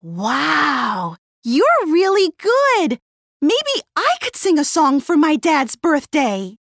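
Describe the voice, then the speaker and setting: Man voicing a little storybook girl, sad, very high, slow, wistful, wondering. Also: huge pitch swings, a bit bright, no room sound, clean studio sound, heavily acted. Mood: eager.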